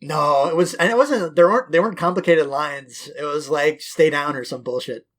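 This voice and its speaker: A man's voice: fairly high pitch, moderate pace, fairly bright tone. Rambling, profane man from West Quebec. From West Quebec, Canada. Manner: rambling, profane, self-deprecating storytelling. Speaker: podcast co-host. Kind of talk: casual podcast chat.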